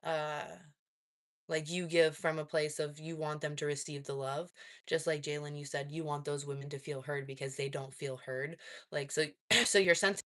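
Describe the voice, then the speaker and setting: A woman's voice: low voice, fairly even intonation, moderate pace, a bit bright. A woman hosting a casual live stream, reading chat and asking probing follow-up questions, with frequent laughs and fillers. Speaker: livestream host reading chat.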